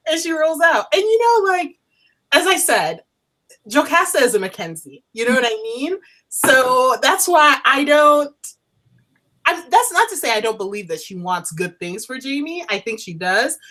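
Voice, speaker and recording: A woman's voice: high voice, very animated, moderate pace, bright, forceful tone. A woman chatting casually as co-host of a TV review show, emphatic and restating her points.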